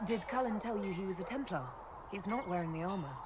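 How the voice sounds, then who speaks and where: A woman voicing a composed, skeptical fantasy envoy in a video game, measured and direct in manner. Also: medium pitch, close to an everyday voice. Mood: questioning. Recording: game audio with clear background noise.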